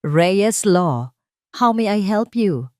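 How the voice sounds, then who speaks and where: A woman's voice: medium pitch, lively intonation, drawn-out words, dry recording, clean studio sound, pitched above the performer's own voice. A woman voicing a formal, automated office answering system in an audio drama. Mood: formal, polite.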